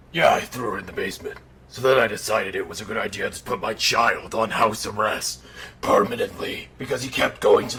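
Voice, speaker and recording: A man voicing an irritable, sardonic undead killer in an animatronic suit, telling a bedtime story in a deadpan, exasperated growl. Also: on the low side. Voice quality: gravelly, breathy growl. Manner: deadpan dark humor, exasperated. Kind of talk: in-character bedtime storytelling roleplay. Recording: noticeable background noise.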